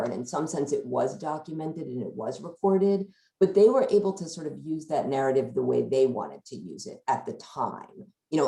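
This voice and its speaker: A woman's voice: a bass voice, creaky, mellow, roomy sound, low-quality recording. A woman leading a thoughtful, analytical book discussion, circling through her sentences with hedges as she works out her points. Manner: hedging, circling sentences with frequent "sort of". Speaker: discussion leader at a book talk.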